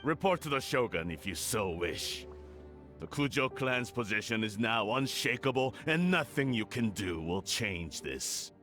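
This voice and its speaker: A man's voice: medium pitch, very animated, a little slow, pushed and bright, soft background music. A man voicing an arrogant, scheming middle-aged clan lord, pompous and formal, in a dramatic video game. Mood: scornful.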